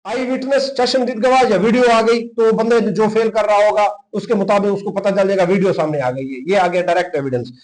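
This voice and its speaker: A man's voice: high voice, steady tone, brisk, hardly any pauses, darkish tone, poor audio. A man with a Pakistani accent teaching informally, explaining and dictating notes, repeating key terms and mixing in English technical words. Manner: mixes English legal terms into Urdu speech. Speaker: teacher of a law exam-prep class.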